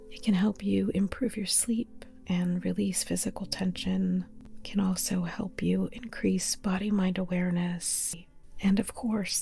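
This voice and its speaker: A woman guiding a relaxation meditation in a soft, breathy near-whisper, calm and soothing, with short spaced phrases. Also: medium pitch. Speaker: yoga nidra meditation guide. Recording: modest older mic, music behind.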